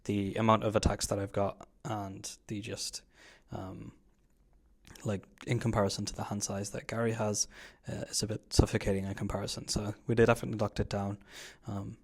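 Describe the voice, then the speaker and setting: A man's voice: lowish voice, flat delivery, a little fast, gravelly. A man giving casual, informal voice-over commentary on a card game he is playing, explaining moves in slangy language. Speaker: card game player commentating his own match.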